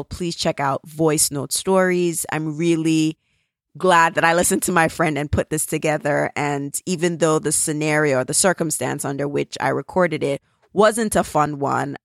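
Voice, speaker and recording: Podcast host, Jamaican woman, earnest casual appeal, slight vocal fry. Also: deep voice, dry recording, clean studio sound. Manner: earnest, grateful appeal for support. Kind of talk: casual solo podcast update.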